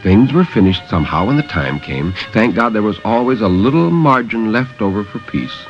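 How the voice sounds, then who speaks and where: A man narrating a story in a theatrical 1950s radio style with measured pauses. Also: fairly low pitch, sing-song, faint music behind. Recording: dull vintage 1950s radio recording.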